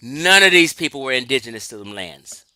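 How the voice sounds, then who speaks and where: A man's voice: medium pitch, big pitch swings, a little fast, sharp tone, clear, resonant tone, no echo, low-quality recording. A man from Los Angeles giving an emphatic, lecturing livestream talk, answering his chat and laughing often. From Los Angeles, California. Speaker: livestream host.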